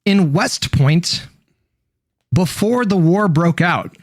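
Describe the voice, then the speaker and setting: A man telling a story casually in a halting, informal way with some swearing, at times reading aloud. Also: high voice, lively intonation, dry recording, studio quality.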